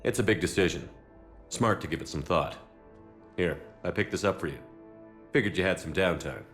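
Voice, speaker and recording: A man playing a steady, supportive military commander in a video game, direct and clipped in his phrasing. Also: sits low, normal speed, soft background music.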